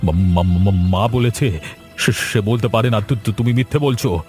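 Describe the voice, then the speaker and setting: Defensive husband male voice actor, fairly low pitch, short-tempered and uneasy, cartoon drama over light music. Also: moderate pace, darkish tone, lightly acted. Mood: panicked. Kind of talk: Bengali cartoon melodrama voice acting.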